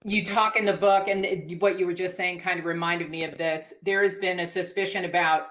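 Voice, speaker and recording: Bright-voiced woman interviewer. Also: fairly low pitch, steady tone, a little fast. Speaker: host and interviewer. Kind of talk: casual conversational interviewing.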